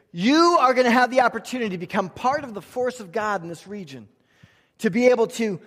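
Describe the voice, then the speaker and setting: A man's voice: sits high, fairly bright tone. A male pastor preaching a church sermon in an emphatic, conversational way, with rhetorical questions and repeated phrases. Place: large room, preaching to a congregation. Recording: reverberant hall sound.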